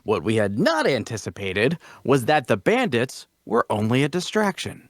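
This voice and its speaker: A man's voice: medium pitch, huge pitch swings, moderate pace, no echo, studio quality. A man playing a self-important adventurer, telling a heroic tale in a grandiose, theatrical manner at a table read. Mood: grave, dramatic. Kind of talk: dramatic fantasy dialogue reading.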